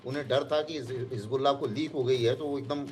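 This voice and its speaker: A man's voice: medium pitch, fairly even intonation, fairly quick, darkish tone, faint music behind. A man giving analysis as an expert guest in a live news discussion.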